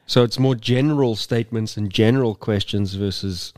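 A man's voice: lowish voice, normal speed, darkish tone, no room sound, studio quality. A man co-hosting a podcast conversation, agreeing often and restating his partner's points in his own words. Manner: supportive, frequent agreeing interjections, paraphrases. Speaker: podcast co-host.

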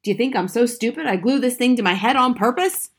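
A woman voicing an angry, blustering skinny father in a storybook, shouting and spluttering. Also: a little high, fast talker, voice pushed up. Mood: furious.

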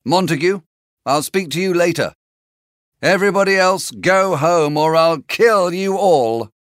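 A woman's voice: fairly low pitch, unhurried, no echo, clean studio sound, natural voice. A woman voicing a stern male prince who barks curt orders at feuding families, in a storybook reading.

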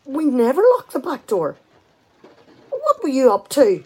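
A sarcastic, chatty wife in a radio comedy. Her voice is highish and slightly husky, and it swings widely as she fires off indignant questions in an exasperated way. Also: huge pitch swings, measured pace, a little mellow, heavily acted, poor audio. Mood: suspicious. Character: exasperated, sarcastic, talkative wife at a kitchen table. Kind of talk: radio play comedy dialogue.

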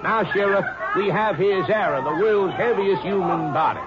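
A man's voice: high voice, moderate pace, over a noisy crowd, heavy background noise, natural voice. A man playing a wordy, evasive carnival showman with a florid, mock-courteous manner in a 1950s radio drama. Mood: smooth-talking. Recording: muffled old-time radio recording with music.

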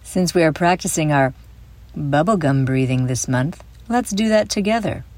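A woman hosting a guided visualization for children, speaking in a soothing, deliberate way with gentle emphasis. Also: sits low, no room sound. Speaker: host and guide of a children's mindfulness audio show. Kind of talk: guided visualization, scripted narration for kids.

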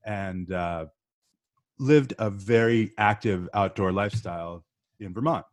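A middle-aged man from the northeastern US talks as a podcast guest in a low, unhurried voice. He is chatty and easygoing and often pauses with ums and uhs. The recording is dry, with no room echo. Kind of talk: casual interview storytelling.

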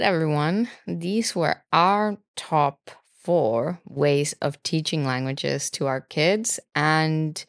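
A woman from Finland in her thirties, a native Finnish speaker, co-hosting a podcast with calm, explanatory reading. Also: low voice, gravelly, no room sound, clean studio sound. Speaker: podcast co-host. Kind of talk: scripted podcast narration.

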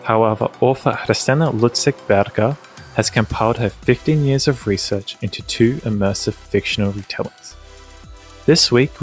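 A man hosting a podcast, reading a scripted introduction and then asking interview questions in a friendly, slightly hesitant way. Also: fairly low pitch. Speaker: podcast host.

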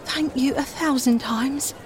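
Woman playing a pleading young country mother, softer, noisy background. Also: high-pitched, fairly even intonation, a little slow. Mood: tearful gratitude. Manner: pleading, polite. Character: poor, anxious young country mother, humble and polite.

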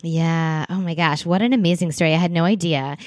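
Low-voiced enthusiastic woman podcast host. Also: fairly quick, darkish tone, no room sound, studio quality. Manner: enthusiastic and encouraging. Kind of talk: podcast interview hosting.

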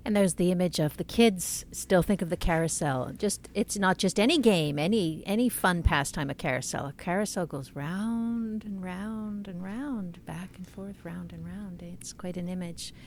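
A woman's voice: on the low side, normal speed, few pauses, a little hiss or hum. A woman hosting a conversational interview, engaged and asking follow-up questions. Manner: engaged, asks follow-up questions, reads lines aloud. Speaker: interview host.

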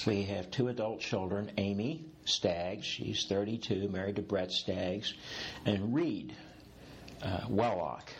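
Man in his sixties, low voice, reflective interview guest, restarts often, faint room ambience. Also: takes it slowly, slight background noise. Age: 60s. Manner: reflective, rambling with restarts, occasional laughs. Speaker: executive coach, guest. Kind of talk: interview conversation.

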